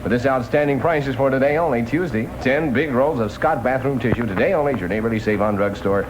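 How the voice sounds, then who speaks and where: A man's voice: medium pitch, fast talker, noisy background. A male disc jockey delivering folksy, joking Top 40 patter on a vintage radio broadcast. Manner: wisecracking, folksy, joking asides. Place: radio studio.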